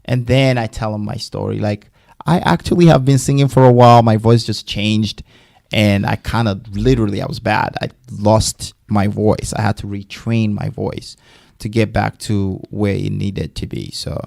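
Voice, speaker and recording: Gravelly-voiced man telling his story. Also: fairly low pitch, normal speed, no room sound, clean studio sound. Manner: animated, emphatic storytelling with laughs. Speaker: guest singer. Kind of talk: casual podcast interview.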